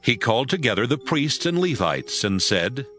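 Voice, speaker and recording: A man narrating an audio Bible drama from a script in a measured storytelling manner. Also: medium pitch, big pitch swings, bright, forceful tone, faint music behind.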